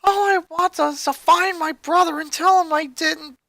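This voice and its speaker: A woman's voice: very high-pitched, fairly even intonation, moderate pace, gravelly, a bit bright, dry recording, voice pushed up, full character voice. A woman voicing a headstrong, guilt-ridden sporty girl in a story reading. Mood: upset, guilty.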